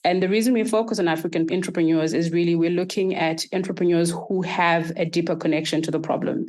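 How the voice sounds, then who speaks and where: A woman from Zimbabwe, answering podcast interview questions in a measured, structured way. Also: on the low side, steady tone, a little mellow. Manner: measured, structured, explains in lists. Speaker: guest, startup accelerator executive.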